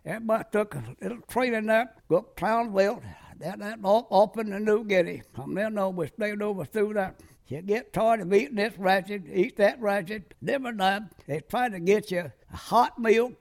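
An elderly American man in his nineties speaks with a heavily creaky voice, pitched high for a man. He recounts his past like an old veteran giving an oral history, in loose, clipped, folksy sentences that wander along. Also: normal speed. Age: very old, 90s. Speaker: war veteran being interviewed.

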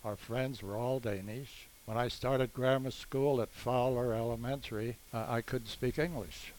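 A man's voice: medium pitch, fairly even intonation, a little mellow, a little hiss or hum, rough recording. 90-something guy from the central valley telling old stories, modest and plain. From California Central Valley. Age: 90s. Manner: plain, modest, unhurried storytelling. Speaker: war veteran guest. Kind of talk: recalling memories in an interview.

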